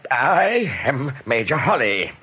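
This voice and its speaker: An actor in a radio play playing a polite, wary rabbit major with a courteous, hesitant manner. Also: male voice, mid-range voice, sing-song, takes it slowly, bright, forceful tone, husky, exaggerated voice. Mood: polite, earnest.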